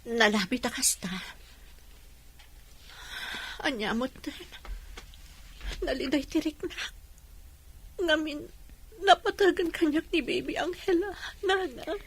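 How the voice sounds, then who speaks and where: A woman plays a tender, sorrowful wife in an Ilocano radio drama. Her voice is very high and plaintive, with an Ilocano Filipino accent. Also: lively intonation, measured pace, long pauses.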